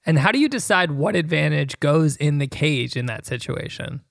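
A man with a voice a little higher than most, captured very dry. He talks casually and conversationally, as a co-host who puts questions and reacts to what he hears. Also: expressive, normal speed, vocal fry, fairly bright tone, no echo. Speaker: podcast co-host asking questions.

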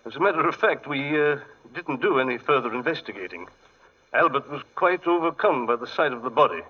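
An actor playing an excitable, fussy English gentleman archaeologist in 1940s radio style, often hesitant, with an English accent. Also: medium pitch, a little fast, lightly acted. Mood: apologetic. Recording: muffled 1940s radio broadcast.

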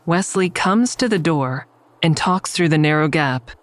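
A woman's voice: deep voice, animated, measured pace, no echo. A woman playing a wary, wry character who narrates her own story in an audio drama, in a confiding way.

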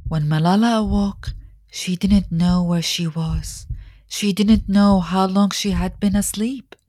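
A woman narrator reading a scripted children's biography in a deliberate, expressive storytelling manner. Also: medium pitch, no room sound, studio quality. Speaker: audiobook narrator.

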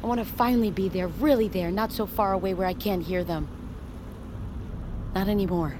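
Actress as urgent woman amid clear noise. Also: mid-range voice, fairly quick, close to an everyday voice. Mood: desperate pleading. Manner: urgent, emotional, earnest. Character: desperate, pleading woman stranded at sea, longing for family. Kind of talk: audio fiction drama acting.

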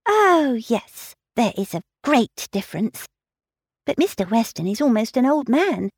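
Gushing young girl, easily impressed, a woman doing her way up high and fluttery. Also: very animated, measured pace, husky, no room sound, studio quality, heavily acted. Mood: eager. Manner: breathless and gushing.

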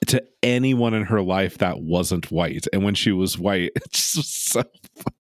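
A man's voice: low-pitched, measured pace, no room sound. A man in his thirties co-hosting a podcast, joking sarcastically in casual recap chat from a makeshift home recording space. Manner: sarcastic, joking, swearing, lively swoops into silly voices. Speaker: podcast co-host.

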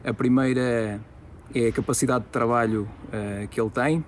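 A man, an assistant coach giving a formal recorded tribute with hesitant pauses. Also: mid-range voice, steady tone, slight background noise.

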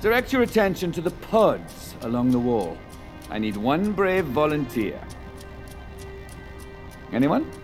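An actor playing a smooth, commanding male tech visionary who presents like a showman in an audio drama. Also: on the high side, sing-song, a little slow, pauses often. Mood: showmanlike. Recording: dramatic music score behind.

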